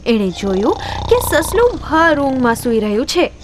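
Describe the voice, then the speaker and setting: A woman narrating scripted children's moral stories in an expressive storytelling manner. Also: sits high, normal speed, background noise.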